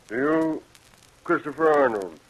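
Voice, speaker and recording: An actor playing a genial, folksy judge who is easily rattled, in old radio drama style. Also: male voice, fairly high pitch, animated, measured pace, poor audio. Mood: genial, officiating. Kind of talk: 1940s radio acting.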